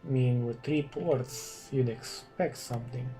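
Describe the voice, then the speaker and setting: A Romanian man speaking English as a second language, with a very dark, mellow tone. He muses his way through game commentary, humming and pausing as he thinks, over faint background music. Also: mid-range voice. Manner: musing, thinks aloud with frequent hums and pauses. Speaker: gaming commentator. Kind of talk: casual gameplay commentary.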